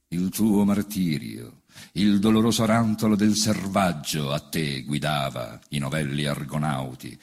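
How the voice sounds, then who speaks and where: An actor reciting as a proud patriot poet, with grave, measured declamation in a stage verse recital. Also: male voice, fairly low pitch. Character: Giuseppe Garibaldi, proud patriot poet addressing Italy. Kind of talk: dramatic poetry recitation over band music. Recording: prominent band music under the voice.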